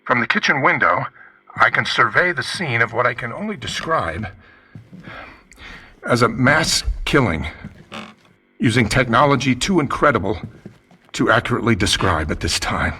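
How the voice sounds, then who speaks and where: An actor playing a grave, urgent radio news reporter giving a formal eyewitness report in a radio drama. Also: male voice, mid-range voice, big pitch swings, pushed and bright, husky, exaggerated voice. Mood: shaken, urgent. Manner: formal, measured on-the-scene reporting.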